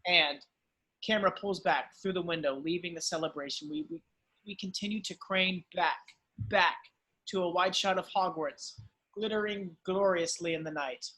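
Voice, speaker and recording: A man's voice: high voice, moderate pace, sharp tone, low-quality recording. A man reading screenplay stage directions as dramatic scripted narration.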